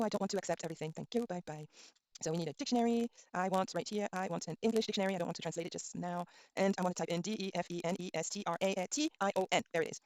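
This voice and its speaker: A middle-aged woman whose first language is Norwegian runs a relaxed live lesson with a fairly low voice and a dry, close sound. She chats easily, spells words out one letter at a time and scatters ums through her talk. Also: no echo. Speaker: language teacher streaming a class. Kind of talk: casual online lesson, thinking aloud while browsing.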